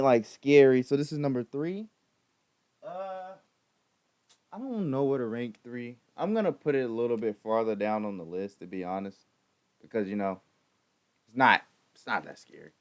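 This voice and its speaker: Animated young man doing casual reaction commentary. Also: mid-range voice, normal speed. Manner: slangy, informal, repeats himself. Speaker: reaction video host.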